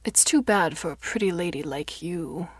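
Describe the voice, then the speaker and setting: Hushed, wry old man, read by a woman. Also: on the low side, lively intonation, normal speed, husky, no echo. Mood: gentle, resigned. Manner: hushed, murmuring. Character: elderly dying man, wry, anxious, gently forward.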